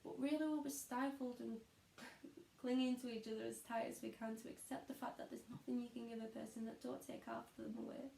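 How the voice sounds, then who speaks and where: A woman's voice: a little high, steady tone, a little fast, vocal fry, warm tone, a little hiss or hum. A woman playing a weary, disillusioned young schoolteacher in an introspective, confiding stage monologue. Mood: reflective, resigned. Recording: echoey room.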